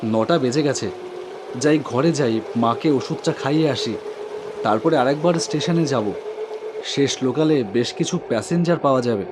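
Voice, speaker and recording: Man acting earnest young rickshaw driver, higher-pitched voice. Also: darkish tone, a little hiss or hum, natural voice. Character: humble, devoted young auto-rickshaw driver telling his story. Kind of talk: first-person cartoon horror story narration with dialogue.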